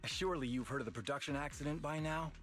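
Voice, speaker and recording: Man acting an earnest, higher-voiced union leader. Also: fast, a bit bright, soft background music, natural voice. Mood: earnest, resolute. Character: earnest, determined male labor union leader. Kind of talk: video game voice acting.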